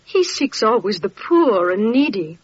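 An actress playing a humble, hospitable mother in a classic radio drama, gentle and deferential in archaic speech. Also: mid-range voice, sing-song, measured pace, slightly rough. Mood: gently apologetic. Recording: dull old radio recording.

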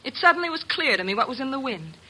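Sharp, steely vengeful wife. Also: female voice, sits high, very animated, fast, breathy edge, heavily acted. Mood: cold, accusing. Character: bitter, vengeful wife holding a gun, steely. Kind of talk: 1950s radio mystery acting.

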